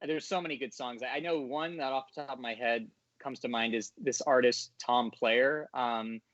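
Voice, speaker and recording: A man's voice: mid-range voice, steady tone, moderate pace, a bit bright, no room sound. Hesitant man, dry and dull recording. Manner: hesitant, searching for words. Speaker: audio drama voice actor, podcast guest. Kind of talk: casual interview talk.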